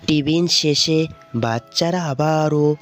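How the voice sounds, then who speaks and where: A man's voice: fairly high pitch, slow. A man with a Bangladeshi accent reading scripted narration for a cartoon story. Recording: music under the voice. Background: background music.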